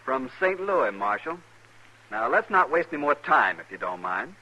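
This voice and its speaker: A man's voice: mid-range voice, sing-song, moderate pace, bright, forceful tone, dull audio, heavily acted. An American actor playing a menacing, haughty, jealous man in an old radio western, with curt and threatening delivery. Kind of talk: mid-century American radio western acting.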